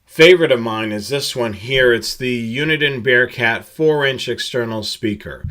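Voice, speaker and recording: A man presenting a product tutorial, explaining gear slide by slide in a halting, steady, instructional way. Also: medium pitch.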